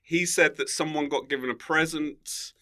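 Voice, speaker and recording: A man chatting casually as a comedian guest on a podcast, wry and bantering and quick to laugh. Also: fairly high pitch, fairly even intonation, pushed and bright.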